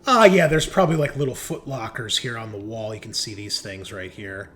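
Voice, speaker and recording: Low-voiced man narrating a tabletop game, halting. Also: fairly bright tone. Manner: conversational, halting, pausing mid-sentence to think. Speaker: game master.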